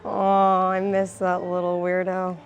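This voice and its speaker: A woman's voice: on the low side, completely flat delivery, slow, dull audio. A woman in her thirties playing an earnest, sentimental agent at a script read. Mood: fond.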